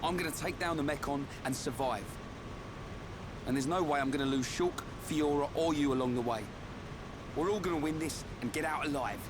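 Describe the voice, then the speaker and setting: An actor playing a brash, loyal and straightforward young fighter in a video game. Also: male voice, on the high side, brisk, background noise, natural voice. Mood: confident, blunt. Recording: game music behind voice.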